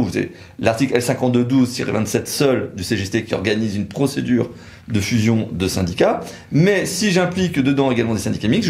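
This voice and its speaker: Male lawyer, precise technical explainer, medium pitch, noticeably roomy recording. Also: darkish tone. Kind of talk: technical legal explainer discussion. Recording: roomy, echoing sound.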